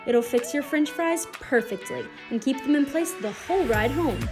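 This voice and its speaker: A woman's voice: high voice, expressive, normal speed. A young woman reading scripted announcements and ad copy for an amateur radio drama. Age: teenager. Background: music.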